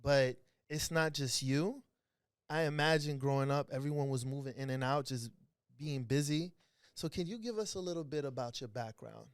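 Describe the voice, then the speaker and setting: A man's voice: mid-range voice, normal speed, clean tone, no room sound, studio quality. A man hosting a podcast, asking questions in an easygoing, teasing way with short encouraging replies. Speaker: podcast host. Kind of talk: casual interview chat.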